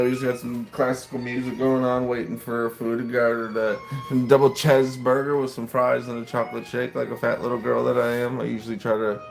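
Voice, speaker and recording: A man's voice: medium pitch, gravelly. A man in his late thirties, chatting casually and rambling on a livestream while he waits for food in a restaurant, reacting to what viewers write. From United States. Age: late 30s. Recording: faint classical music behind.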